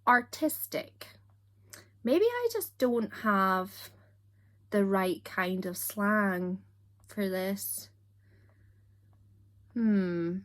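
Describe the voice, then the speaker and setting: A young woman from Scotland whose accent drifts toward standard British, talking straight to camera in a relaxed vlog. She is chatty and self-deprecating, with a giggly edge. Her pitch sits mid-range for a woman. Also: extremely slow, long pauses. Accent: Scottish, softened toward standard British. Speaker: YouTuber. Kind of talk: casual chat to camera.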